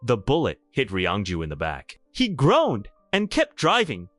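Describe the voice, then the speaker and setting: A man's voice: medium pitch, huge pitch swings, unhurried, a bit bright, dry recording, soft background music. A man narrating a scripted romantic drama in a theatrical, emphatic storytelling style.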